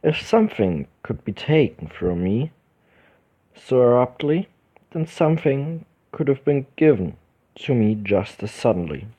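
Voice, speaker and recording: Man, let's play reader, unhurried with lively intonation, stumbles now and then. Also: medium pitch. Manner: reads narration slowly with small stumbles and restarts. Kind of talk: reading a visual novel's text aloud. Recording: dull lo-fi recording with game music behind.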